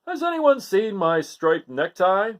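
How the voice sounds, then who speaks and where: A man's voice: high-pitched, big pitch swings, unhurried, voice pushed up. A man voicing a proud, practical father goat in a picture-book read-aloud. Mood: fatherly.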